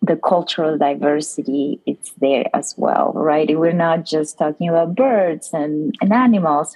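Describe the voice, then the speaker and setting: A woman's voice: low-pitched, darkish tone, low-quality recording. A woman from Peru with a Peruvian Spanish accent, explaining things conversationally and discursively as an interview guest, often checking in with the listener. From Lima, Peru. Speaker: professor, podcast guest.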